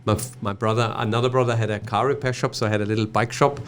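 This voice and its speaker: A man around fifty from Germany with a German accent, a podcast guest telling stories in a relaxed way and often restarting his sentences. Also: lowish voice, fast talker, slight background noise. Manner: relaxed storytelling with frequent restarts. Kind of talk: casual conversation.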